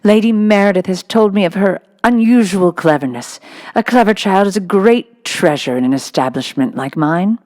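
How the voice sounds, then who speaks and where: A woman voicing a cold headmistress in a storybook who speaks in gushing, insincere flattery. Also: mid-range voice, moderate pace, dry recording, clean studio sound, pitched above the performer's own voice, lightly acted. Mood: flattering.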